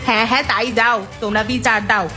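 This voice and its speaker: A greedy village wife from a children's cartoon, acted by a woman, with a fairly high voice. She is sly and stubbornly defiant, and music plays clearly behind her. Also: steady tone, fast talker, pushed and bright. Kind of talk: Bengali children's cartoon dialogue.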